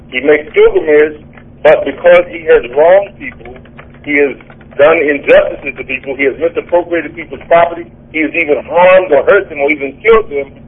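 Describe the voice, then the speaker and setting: Husky man on a phone-like line. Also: fairly high pitch. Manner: patient, explanatory, with restarts. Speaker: religious speaker. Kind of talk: explaining faith on call-in radio. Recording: phone-like narrow sound.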